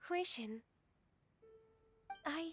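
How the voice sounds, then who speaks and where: Gentle, high-voiced dutiful secretary. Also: female voice, lively intonation, extremely slow, heavily acted. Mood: earnest, moved. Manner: gentle, formal, earnest. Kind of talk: anime video game voice acting. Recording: music behind the voice.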